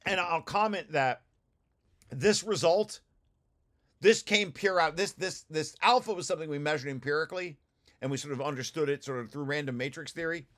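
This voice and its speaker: A man's voice: on the high side, moderate pace, fairly bright tone. A man giving an informal technical explanation as a presenter, often restarting and repeating his phrases. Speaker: presenter of a research paper, study group leader. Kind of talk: informal technical explanation over shared slides.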